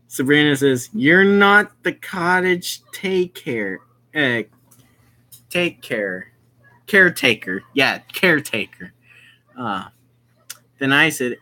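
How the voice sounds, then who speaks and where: A gamer reading a visual novel aloud with casual commentary, halting and often explaining who is speaking. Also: medium pitch, bright, forceful tone. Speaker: gaming video host.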